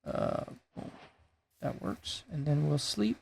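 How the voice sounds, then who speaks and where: A man with a raspy voice that is going hoarse, thinking aloud in a laid-back way while he builds in a game. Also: on the high side, slow. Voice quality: gravelly, raspy. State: losing his voice. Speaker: gaming YouTuber. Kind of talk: casual gameplay commentary.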